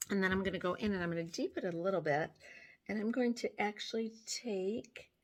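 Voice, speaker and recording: Hoarse older woman explaining makeup gently. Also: mid-range voice, fairly quick. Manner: gentle, step-by-step explaining while applying makeup. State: hoarse from sinus trouble. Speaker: beauty vlogger. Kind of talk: makeup tutorial chat. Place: small room at home, indoors. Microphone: phone camera close up.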